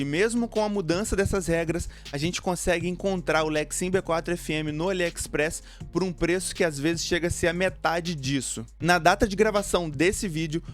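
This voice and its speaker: A man with a Brazilian Portuguese accent presenting a product review in an explanatory, informal way. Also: fairly high pitch. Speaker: motorcycle gear review video host. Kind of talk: product review narration to camera or voice-over. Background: background music.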